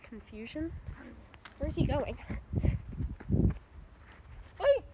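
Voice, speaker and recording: A woman's voice: high voice, huge pitch swings, extremely slow. A young woman chatting and asking questions while fishing outdoors by a river, filmed on a phone. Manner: chatty, questioning, easily startled. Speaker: vlogger. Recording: noisy outdoor phone recording.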